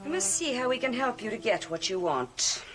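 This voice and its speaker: A probing, animated gothic schemer. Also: female voice, on the high side, loud music behind the voice. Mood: shrewd, calculating. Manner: questioning, deliberate. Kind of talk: gothic television soap acting.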